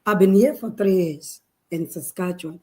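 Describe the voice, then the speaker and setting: A woman from Nigeria speaking as a panelist in an online show, in a measured and formal way. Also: fairly low pitch, warm tone. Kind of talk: video call panel talk.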